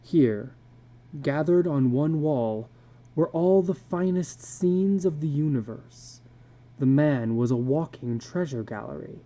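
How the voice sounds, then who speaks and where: A man narrating literary fiction as a dramatic reading, in a measured, deliberate way. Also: fairly high pitch, animated, dark tone.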